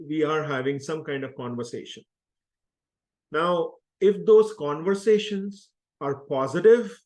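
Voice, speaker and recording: A man speaking as an interview guest, explaining self-help ideas in an earnest, deliberate way and repeating key phrases. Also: mid-range voice, a little mellow.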